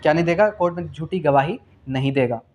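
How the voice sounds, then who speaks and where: A man's voice: on the high side, fast, darkish tone, a little hiss or hum. A man with a Pakistani accent teaching a law revision class, asking and answering his own questions, repeating key phrases and mixing in English terms. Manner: switches between Urdu and English terms. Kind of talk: exam revision lecture.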